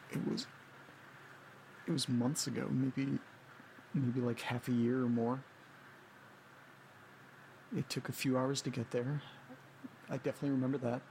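A man playing an anxious, confused character who talks to himself haltingly in a naturalistic audio drama monologue. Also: medium pitch, slight background noise, lightly acted, low-quality recording. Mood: confused, straining. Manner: halting, repeating himself, muttering. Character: anxious man named Nate straining to recover lost memories.

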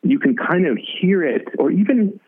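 A man with a raspy voice, talking as a musician guest in a casual interview, halting and full of restarts. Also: high-pitched, animated. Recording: tinny phone-like line.

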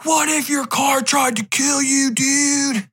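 Man putting on a high, cocky teenage dude-bro. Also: fairly even intonation, slow pace, a bit bright, shouting, heavily acted. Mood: excited bravado. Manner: slangy, swearing, insistent dude-bro talk. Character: excitable, cocky thirteen-year-old boy trying to seem cool.

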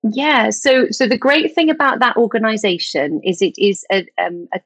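A woman's voice: fairly high pitch, normal speed, a bit bright, husky, no echo. Woman explaining vocal health. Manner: fluent and informative, with frequent "um" and small restarts. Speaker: vocal health expert guest. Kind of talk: podcast interview, explanatory.

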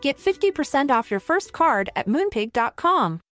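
Female advert voice-over, very high, bouncy intonation, scripted sell over a music bed. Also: very animated, normal speed, fairly bright tone. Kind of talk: scripted upbeat advert read.

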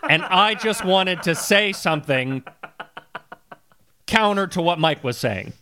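A man's voice: high voice, animated, measured pace, sharp tone, slight background noise. A man co-hosting a comedy podcast, joking crudely and arguing in mock seriousness about song lyrics. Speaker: podcast co-host.

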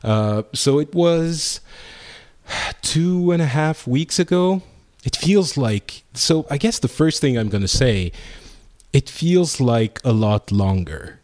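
A man's voice: mid-range voice, expressive, slow pace, a little mellow. A man with a French accent hosting a casual group discussion, hesitant with many fillers and restarts. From France. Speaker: podcast host.

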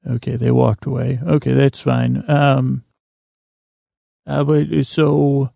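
A man hosting a podcast with a meandering, halting bedtime monologue that keeps drifting off track, in a gravelly, creaky voice. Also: medium pitch, little pitch movement, dark tone, no room sound, studio quality. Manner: meandering, halting, digressive, loses his thread. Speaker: podcast host. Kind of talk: rambling bedtime monologue meant to help listeners sleep.

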